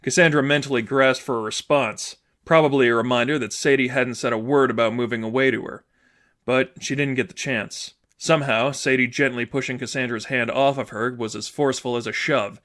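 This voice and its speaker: A man's voice: medium pitch, fairly even intonation, fairly quick, a bit bright. A man reading scripted fiction aloud as the narrator of a fan fiction audiobook.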